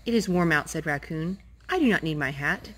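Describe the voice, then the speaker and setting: A woman's voice: sits low, a little fast, slight background noise. Woman reading a picture book aloud. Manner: gentle, animated read-aloud voice, talking to the children between pages. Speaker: storytime reader.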